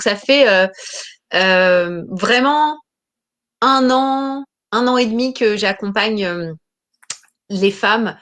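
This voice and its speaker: 30s woman coach chatting, mid pitch, trips over her words a lot. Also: a bit bright. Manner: hesitant, many fillers and restarts. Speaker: business coach, guest. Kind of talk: interview answers.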